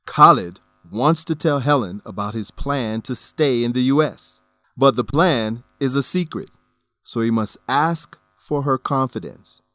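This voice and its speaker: A man hosting an English lesson, reading scripted explanations with careful, deliberate enunciation. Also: mid-range voice, pushed and bright, dry recording. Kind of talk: scripted educational narration.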